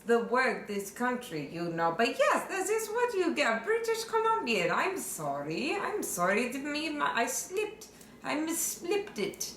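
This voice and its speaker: A woman's voice: high voice, huge pitch swings, unhurried, a bit bright, slight background noise, full character voice. A woman playing a scheming foreign caregiver, speaking broken English in a sly, sing-song way in an improvised comedy sketch. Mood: flustered. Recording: roomy echo.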